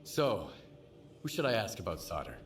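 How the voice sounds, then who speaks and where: A low-voiced, terse wasteland drifter. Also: male voice, very animated, normal speed, a little hiss or hum. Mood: blunt, guarded. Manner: terse, blunt. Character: terse, tough male newcomer scavenger in a wasteland. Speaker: player character. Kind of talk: video game dialogue.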